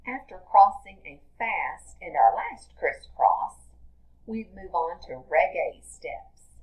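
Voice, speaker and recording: A woman teacher reading a picture book aloud to young children in a deliberate, expressive storytelling manner. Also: fairly low pitch, poor audio.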